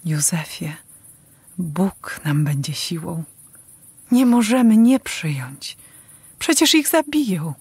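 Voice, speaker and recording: A woman's voice: on the low side, big pitch swings, slow, pauses often, darkish tone, heavily acted. An actress playing a steadfast, devout village mother in a rhymed radio play, earnest and tender. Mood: quiet resolve.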